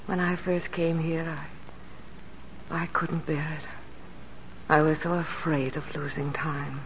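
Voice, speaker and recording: A woman's voice: sits low, level tone, slow, slight background noise. An actress playing a frail, devoted woman pianist who is ill, speaking tenderly and wistfully in a 1940s radio drama. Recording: muffled 1940s radio recording, music behind.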